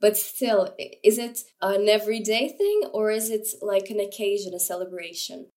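Young woman interviewer, curious, playful, mid pitch. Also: lively intonation, normal speed, darkish tone. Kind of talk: casual interview questions.

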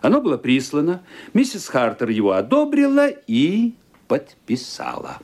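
A man narrating a radio play as scripted dramatic storytelling, in an expressive, theatrical manner. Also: a little high, normal speed.

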